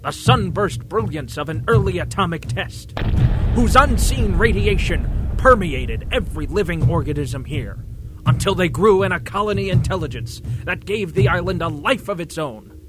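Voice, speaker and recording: A man's voice: high-pitched, expressive, moderate pace, background noise. A man narrating a comic-book audio drama in a theatrical, florid and grandiose manner. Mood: dramatic.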